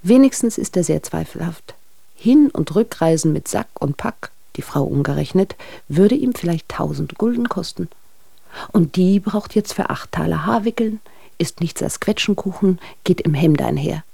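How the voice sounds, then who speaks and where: An actress playing a wry, gossipy friend who reports on a couple in letters, dry and observant, in a radio drama. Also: female voice, on the low side, expressive, moderate pace, vocal fry, mellow, husky, no echo, exaggerated voice.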